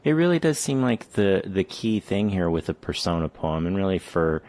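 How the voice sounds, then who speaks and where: A man hosting an interview, asking thoughtful, measured questions about poetry. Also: low-pitched, sing-song, a little mellow. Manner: thoughtful, measured, frequent "mm-hmm". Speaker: podcast host.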